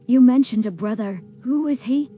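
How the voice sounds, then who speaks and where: A woman's voice: fairly high pitch, normal speed, dark tone, close to an everyday voice. A synthetic woman's voice playing an inquisitive adventurer in a fantasy game. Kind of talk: synthesized game player dialogue. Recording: long hall reverb, music behind.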